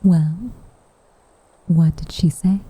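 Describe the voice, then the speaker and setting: A woman playing a gentle, wistful witch in an intimate ASMR roleplay, speaking softly and half-whispered, in a measured, tender way. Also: lowish voice, no echo, full character voice, low-quality recording. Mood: gently teasing. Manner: soft, breathy, tender, measured delivery. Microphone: close microphone.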